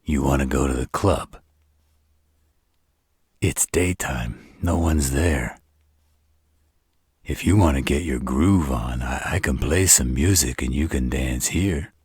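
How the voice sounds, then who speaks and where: An arrogant, flirtatious male vampire played by a man speaks in a rock-bottom, heavily husked voice. He drawls slowly and smugly, taunting the listener as in an intimate roleplay audio drama. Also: a little mellow, dry recording, clean studio sound, full character voice. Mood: smug, needling. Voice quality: very deep, husky, rough. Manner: smug, taunting, slow drawl.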